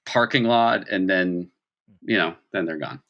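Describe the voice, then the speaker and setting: A man's voice: low-pitched, measured pace, a bit bright, muffled recording. A man narrating an improvised tabletop role-playing game as game master, in a conversational way and acting out reactions.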